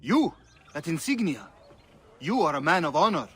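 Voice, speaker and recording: Excitable, high-swooping raspy merchant. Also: male voice, normal speed, faint music behind, exaggerated voice. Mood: excited, effusive. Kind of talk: theatrical game acting.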